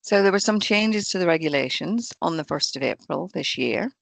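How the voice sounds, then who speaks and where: A woman talks through pension rules in a webinar, her hoarse voice deeply gravelly and full of fry. She keeps an even, explanatory pace over a video call. Her voice is dry and close, with no room sound at all. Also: moderate pace, no room sound. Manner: steady, explanatory, reads through rules point by point. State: croaky, hoarse voice. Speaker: pension fund trainer. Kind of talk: presenting an online employer webinar.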